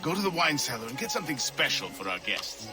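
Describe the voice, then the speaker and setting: An actor playing an ailing, courteous colonial gentleman in a video game, speaking in a formal, measured way. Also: mid-range voice, big pitch swings, close to an everyday voice. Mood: courteous, weary. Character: ailing, dignified colonial gentleman, a Templar leader. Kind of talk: dramatic video game cutscene acting. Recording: music playing behind the voice.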